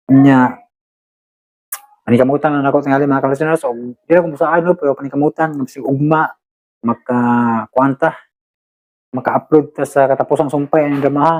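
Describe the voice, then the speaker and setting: A man's voice: medium pitch, fairly even intonation, a little fast, long pauses, darkish tone, low-quality recording. A man with a Cebuano Filipino accent chatting casually to his listeners as host, rambling and hesitant, often repeating himself. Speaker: host and drama uploader. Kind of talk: casual chat to listeners, shout-outs.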